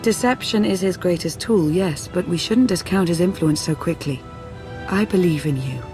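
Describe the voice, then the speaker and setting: An actress playing a cautious, loyal female adviser in a video game, with measured, formal delivery. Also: on the low side, a little mellow, lightly acted. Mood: concerned, guarded. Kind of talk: video game cutscene acting. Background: music.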